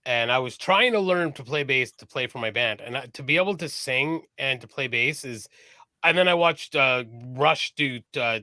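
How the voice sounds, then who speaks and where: A man's voice: mid-range voice, animated, moderate pace, bright, forceful tone, no room sound. A man co-hosting a casual live-streamed podcast, chatty and enthusiastic about music, swearing casually. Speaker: podcast co-host.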